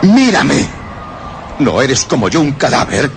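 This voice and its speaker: A man's voice: mid-range voice, big pitch swings, takes it slowly, creaky, background noise, exaggerated voice. An actor playing a terrified, desperate explorer losing his wits in a radio horror play, insistent and overwrought. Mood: frantic despair.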